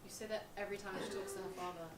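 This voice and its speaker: Actress playing a laid-back, carefree roommate. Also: female voice, medium pitch, fairly even intonation, rapid-fire, natural voice. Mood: easygoing. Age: young adult. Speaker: stage actor. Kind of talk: live stage comedy acting. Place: small theatre stage. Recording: roomy stage, distant mic, background noise.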